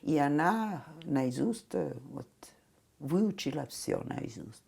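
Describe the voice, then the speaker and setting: An older woman in her seventies, Italian by birth, speaks with an Italian accent and a low, gravelly voice. Her pitch swings widely as she tells her memories. She laughs often and mimics the people she quotes. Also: expressive, slow pace, dark tone. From Italy. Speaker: guest. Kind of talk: reminiscing conversation.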